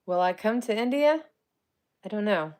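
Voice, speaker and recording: A woman's voice: mid-range voice, lively intonation, moderate pace. Woman in her 40s doing a live stream, chatty and giggly, answers comments, folksy grammar. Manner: chatty and warm, laughs often, greets viewers by name; colloquial grammar. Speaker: psychic medium and paranormal live streamer. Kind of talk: casual livestream chat answering viewer comments.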